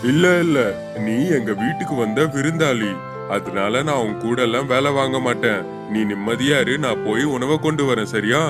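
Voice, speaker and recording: A man's voice: mid-range voice, moderate pace, over loud music, lightly acted. A man voicing a kindly, protective elder-brother eagle in a cartoon, caring and hospitable. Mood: reassuring. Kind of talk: Tamil moral-story cartoon dub.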